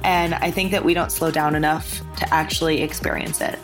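Low-voiced woman hosting a casual podcast. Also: fairly even intonation, brisk, music in the background. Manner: effusive, affectionate, informal. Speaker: podcast host. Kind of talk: casual conversational interview and sponsor read.

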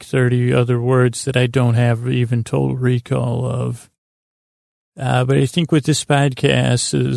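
A man hosting a sleep podcast, rambling in a halting, meandering way with lulling, soothing tones. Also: mid-range voice, darkish tone, no echo, clean studio sound. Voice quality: creaky, gravelly. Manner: meandering, hesitant, halting, trailing off into tangents. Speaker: podcast host.

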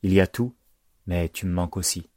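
A man's voice: low-pitched, brisk, mellow, dry recording, studio quality, lightly acted. A man voicing an earnest, plucky young girl devoted to her mother in an audiobook. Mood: earnest, wistful.

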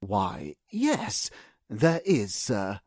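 A man playing a deferential, nervous household servant in a radio drama, husky, formal and hesitant. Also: fairly low pitch, huge pitch swings, very slow, darkish tone, no echo, clean studio sound, exaggerated voice. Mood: nervous, flustered.

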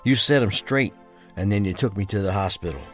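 Low-voiced, loyal gangster right-hand man , acted. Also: fairly quick, soft background music, close to an everyday voice. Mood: grateful, devoted. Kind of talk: crime thriller audio drama dialogue.

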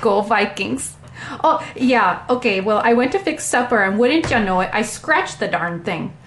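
A woman playing a chatty, folksy artist, rambling with drawn-out exclamations in an interview. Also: fairly high pitch, lively intonation, a bit bright, reverberant, slight background noise. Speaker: interview guest.

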